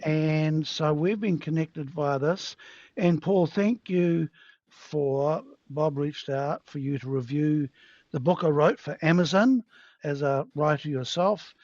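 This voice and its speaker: A man's voice: fairly high pitch, takes it slowly, a little mellow, no room sound. A man hosting a podcast interview, chatty and self-deprecating. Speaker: podcast host. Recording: dull, dry, lo-fi sound.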